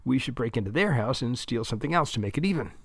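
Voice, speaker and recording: A man voicing a sharp, impatient teenage girl who captains a debate team and lectures and needles with quick comebacks. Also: mid-range voice, expressive, creaky, husky, dry recording, clean studio sound. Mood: lecturing.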